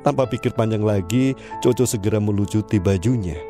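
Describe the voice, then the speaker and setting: Male storyteller for an audio drama, deep and measured, music under the voice. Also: warm tone. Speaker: narrator. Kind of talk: scripted drama narration.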